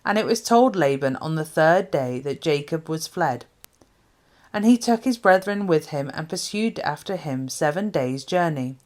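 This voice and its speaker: Female Bible reader, low register, formal and measured delivery, phrase by phrase. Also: lively intonation, a little mellow. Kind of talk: scripted scripture reading.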